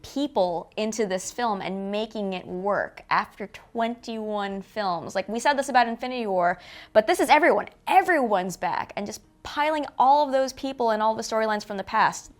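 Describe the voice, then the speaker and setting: A woman's voice: mid-range voice, lively intonation, normal speed. A woman chatting casually as a film review host. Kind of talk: casual chat.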